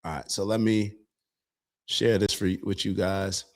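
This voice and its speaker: A man's voice: on the low side, flat delivery, measured pace. A man hosting an online show and giving casual political commentary. He speaks earnestly, talks to viewers as y'all, and often restarts his sentences. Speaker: show host.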